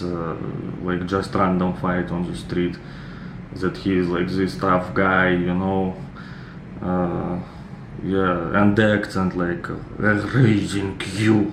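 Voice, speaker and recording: A man in his thirties with a Russian accent chats about a film clip in a loose, rambling way, full of fillers. His voice sits low and his delivery is unhurried. The room is echoey, with a steady noise in the background. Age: 30s. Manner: relaxed, rambling, many fillers. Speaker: reaction video host. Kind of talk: casual commentary.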